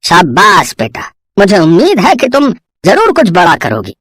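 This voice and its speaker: A woman's voice: fairly low pitch, huge pitch swings, moderate pace, a bit bright, breathy edge, no room sound, studio quality, pitched below the performer's own voice, exaggerated voice. A woman voicing a kindly older male village headman in a moral story, chatty and folksy with fatherly encouragement. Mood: pleased, encouraging. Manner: chatty, folksy, with frequent exclamations and fatherly endearments. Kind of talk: dramatised Hindi moral storytelling.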